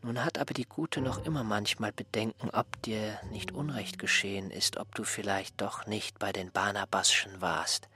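A man acting an aggrieved, smug former servant in a radio drama, reproachful and pedantic, with a husky, breathy voice. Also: lowish voice, fairly even intonation, moderate pace, hardly any pauses, sharp tone. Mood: smug, self-righteous. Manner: reproachful, self-righteous, pedantic.

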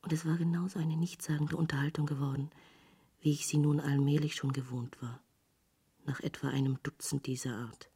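A disillusioned woman traveller in a literary radio drama. Her voice is low and dark, with a strongly husky, breathy grain. She speaks in a measured, dryly reflective manner. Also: flat delivery, full character voice. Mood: weary, reflective. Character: disillusioned female visitor to hell, wistfully reflective narrator.

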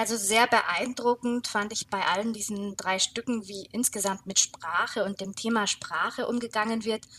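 A woman's voice: medium pitch, fairly bright tone. A woman giving considered, analytical comments as a jury guest in a radio discussion. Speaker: jury member, interview guest.

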